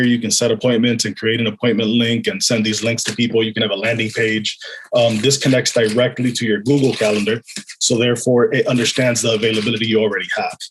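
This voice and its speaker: A man giving an explanatory software demonstration in an informal, unscripted way. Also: fairly low pitch, a little hiss or hum, rough recording. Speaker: software developer giving a product demo.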